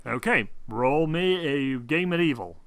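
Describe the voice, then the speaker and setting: A man narrating a tabletop game as game master in a casual, halting way, improvising and correcting himself as he goes. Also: on the high side, animated, dry recording.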